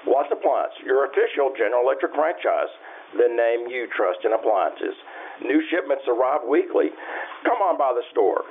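Animated 1950s radio pitchman played by a man. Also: normal speed. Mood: salesmanlike. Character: folksy 1950s appliance store pitchman on local radio. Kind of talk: old-time radio advertisement. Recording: muffled radio recording, faint noise.

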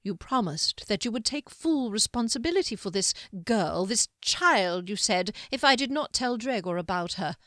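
A sly male wizard, put on by a woman reading aloud, speaks in a slow, smug drawl. His calm carries quiet menace. The recording is dry and close. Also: medium pitch, dry recording, pitched above the performer's own voice, lightly acted. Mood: cold.

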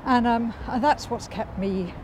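A woman who hosts a podcast, chatting in a calm, reflective way with frequent restarts while walking outdoors. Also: on the high side, unhurried. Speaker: podcast host. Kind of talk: casual outdoor conversation. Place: walking outdoors by a cycle path in a city. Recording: street noise outdoors.

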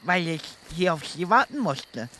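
A man's voice: a little high, sing-song, a little slow, bright, forceful tone, heavily acted. A man playing a dutiful, put-upon policeman in a comedic audio drama, formal and deferential.

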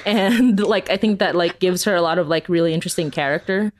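A woman's voice: lowish voice, fairly quick. Chatty, giggly woman in casual interview. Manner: rambling, informal, heavy use of "like"; giggly, laughs often while talking. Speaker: podcast creator being interviewed.

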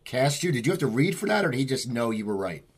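Interviewer, mid-pitched, puts short direct questions to a guest. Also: sing-song, quick pace, breathy edge.

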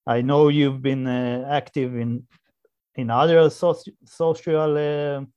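A man hosting an interview, asking questions haltingly and repeating phrases as he searches for words. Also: mid-range voice, fairly even intonation, mellow, clean tone, no room sound, muffled recording. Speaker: interview host.

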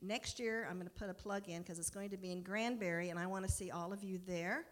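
A woman's voice: medium pitch, fast. A middle-aged or older American woman giving an informal, enthusiastic personal talk to a congregation as a mission worker. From United States. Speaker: mission partner for a hospital, guest speaker.

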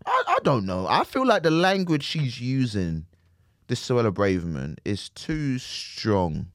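A man's voice: medium pitch, huge pitch swings, unhurried, a bit bright, dry recording, clean studio sound. A man co-hosting a podcast in casual chat, rambling laid-back and tagging his lines with "man". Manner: laid-back rambling, tags lines with "man" and "do you know what I mean". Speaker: podcast co-host.

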